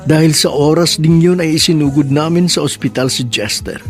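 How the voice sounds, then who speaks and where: A man narrates a radio drama in a solemn, measured way and then reads the credits. His voice sits a little high, and soft music plays behind him.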